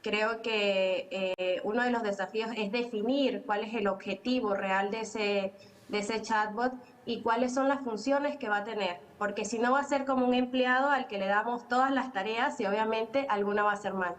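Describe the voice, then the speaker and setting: A woman from Venezuela with a Venezuelan Spanish accent, explaining calmly as a conference panelist and pausing often on fillers. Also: a little high. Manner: explanatory, with frequent filler pauses.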